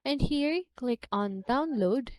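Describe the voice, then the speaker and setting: Slow-paced woman giving a tutorial. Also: sits high, animated, darkish tone, no room sound. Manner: instructional, often checking with "okay?". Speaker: tutorial presenter. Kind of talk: step-by-step software tutorial.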